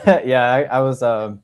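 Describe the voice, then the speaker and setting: A man's voice: medium pitch, slow, smooth. A man whose first language is Russian, co-hosting a podcast in an easygoing way and laughing often. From Los Angeles and Philadelphia, USA. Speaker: podcast co-host. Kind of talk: casual podcast conversation.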